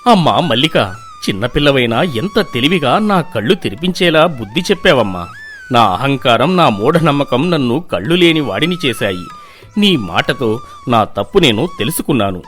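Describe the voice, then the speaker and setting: Man playing village landlord, earnest, commanding, very varied pitch, moral tale, faint music. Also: big pitch swings, moderate pace, a bit bright. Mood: repentant. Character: proud village landlord humbled, repentant and generous.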